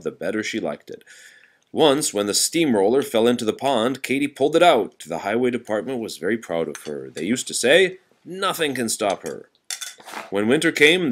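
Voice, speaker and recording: A man reading a children's picture book aloud to a child in an expressive, patient way. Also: fairly low pitch. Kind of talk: storybook read-aloud to a child.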